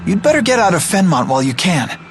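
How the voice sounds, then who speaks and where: An earnest teenage boy hero from a fantasy game, performed by an actor, with very lively pitch movement. He sounds youthful and quick to worry, eager to help, over clearly audible music. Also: close to an everyday voice. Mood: concerned, helpful. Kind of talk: English dub of fantasy game cutscenes.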